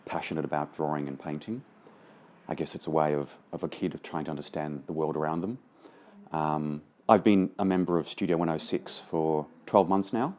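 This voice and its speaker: A man's voice: rumbling low, a little mellow, a little hiss or hum. A middle-aged man, a painter, speaking earnestly in a documentary interview, searching for words. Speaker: visual artist.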